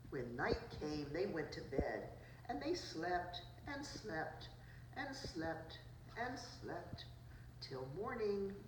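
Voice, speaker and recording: A woman's voice: on the low side, very animated, slow. A woman preschool teacher reading a picture book aloud to children, adding simple asides about the pictures. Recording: roomy, distant mic, noisy background.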